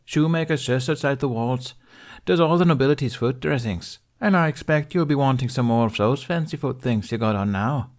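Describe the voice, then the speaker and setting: A wary village widow, played by a male narrator in an audiobook. She is curt and no-nonsense, speaking in clipped folksy phrases at a pitch lifted well up. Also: normal speed, natural voice. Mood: brisk. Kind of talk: audiobook character voice.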